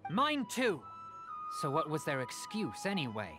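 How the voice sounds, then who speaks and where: A man's voice: on the high side, wildly swooping pitch, unhurried. A man voicing a defiant but supportive townsperson in a video game. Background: game music.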